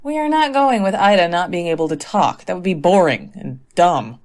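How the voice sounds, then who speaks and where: A woman's voice: lowish voice, lively intonation, moderate pace, a little mellow, close to an everyday voice. A woman playing a tired, sensible teacher in a comic phone-call sketch, dry and exasperated. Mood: weary.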